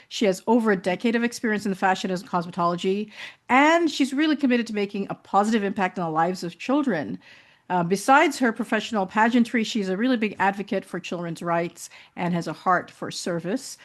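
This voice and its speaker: A woman hosting an interview show, introducing her guest in a chatty way with many fillers and restarts. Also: mid-range voice. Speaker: talk show host.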